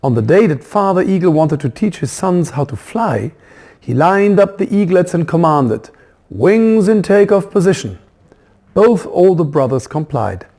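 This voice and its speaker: A man reading a children's book as its author, in expressive storybook narration. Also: fairly high pitch, normal speed.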